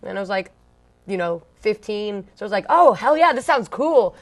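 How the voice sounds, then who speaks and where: A woman's voice: medium pitch, lively intonation, moderate pace. A young woman from Long Beach, California, a punk band singer telling her story in an interview, chatty and blunt, full of "like" and "um". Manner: blunt, slangy, swears casually.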